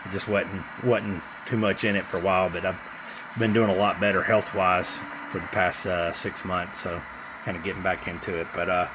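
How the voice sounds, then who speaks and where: A man casually demonstrating a radio he is selling, talking in an informal, halting, hands-on way. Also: low-pitched, fairly even intonation. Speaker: CB radio seller. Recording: noisy room with radio hiss.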